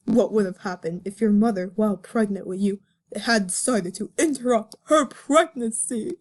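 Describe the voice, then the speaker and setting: A woman's voice: mid-range voice, moderate pace, a little mellow, pitched below the performer's own voice, lightly acted. Woman putting on a solemn, wounded male cartoon fox. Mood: earnest. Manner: solemn, reproachful, theatrical. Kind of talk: melodramatic comic dramatic reading.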